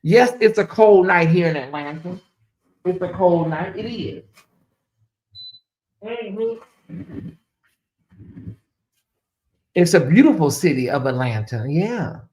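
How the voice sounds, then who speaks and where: A man talks casually to his livestream viewers from home. His voice is hoarse and fading, and he rambles, circles back and repeats lines with dry sarcasm. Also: high voice, expressive, takes it slowly, pauses often, a little mellow, poor audio. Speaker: livestream host. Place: home in Atlanta, cold room.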